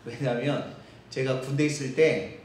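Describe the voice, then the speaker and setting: A male pastor from Seoul with a Seoul accent, preaching a sermon in a conversational way with filler pauses. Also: medium pitch, a little mellow, a little hiss or hum. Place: church sanctuary. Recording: echoey church hall.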